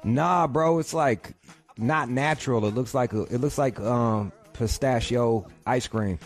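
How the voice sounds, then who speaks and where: A man hosts his podcast from home, rambling and swearing his way through a rant. His pitch sits in an ordinary middle range. Faint music plays under his voice. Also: unhurried. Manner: rambling, swears constantly, ranting. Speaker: podcast host. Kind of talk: casual profane monologue.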